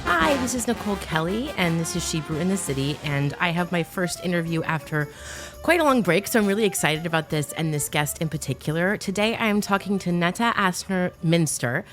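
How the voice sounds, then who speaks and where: A woman's voice: on the low side, lively intonation, brisk, over music. A young adult woman hosting a podcast interview over a remote call. She talks in a chatty, enthusiastic way with many "like" fillers. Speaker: podcast host. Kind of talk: casual interview chat.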